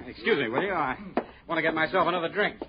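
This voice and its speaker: A brash, slippery party guest played by a male actor in an old radio drama. His voice is somewhat high for a man. Loud chatter surrounds him on a dull recording. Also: quick pace, lightly acted. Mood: evasive. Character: cocky, evasive male pool-playing party guest. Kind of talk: 1960s radio drama acting.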